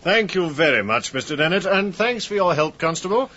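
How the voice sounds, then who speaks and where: An actor playing a tense, curt British schemer with cool politeness in a radio drama. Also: male voice, high voice, sing-song, brisk, muffled recording, background noise. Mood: polite.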